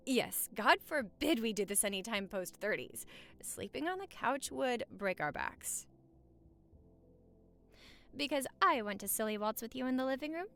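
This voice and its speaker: A woman acts out a young newlywed wife in a romantic audio roleplay. Her voice is high and swings widely in pitch as she banters. She comes across as affectionate, playful and teasing, chatting as if to her partner at home. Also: vocal fry, a bit bright, heavily acted. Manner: bantering, teasing, sweet-talking.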